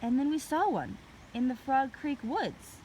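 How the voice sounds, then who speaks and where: A woman's voice: high voice, animated, unhurried, dark tone, slight background noise, pitched above the performer's own voice, poor audio. A woman voicing a cautious, studious young boy in a children's storybook, doubtful and exasperated. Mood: puzzled, doubtful.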